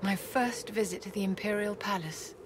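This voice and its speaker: An actress playing a poised, secretive court enchantress in a video game, formal and measured, with condescending courtesy. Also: female voice, medium pitch, noisy background, close to an everyday voice. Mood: grieving. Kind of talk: video game cutscene acting.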